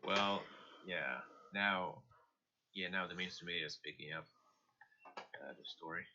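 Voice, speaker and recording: Low, very sharp-voiced man on a stream. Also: measured pace, slight background noise. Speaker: live stream contributor. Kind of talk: casual speculative news commentary. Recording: dull lo-fi stream audio.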